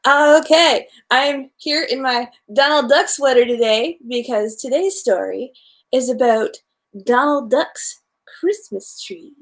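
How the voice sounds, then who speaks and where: Female storyteller, higher-pitched voice, informal read-aloud of a children's bedtime tale, slightly unpolished delivery. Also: slow.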